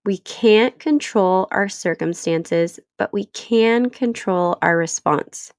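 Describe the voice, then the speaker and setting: A woman's voice: mid-range voice, slow, no room sound, clean studio sound. A woman in her thirties hosting her own podcast, stuffy from a cold, talking in an earnest, encouraging way. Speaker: podcast host. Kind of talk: solo podcast talk.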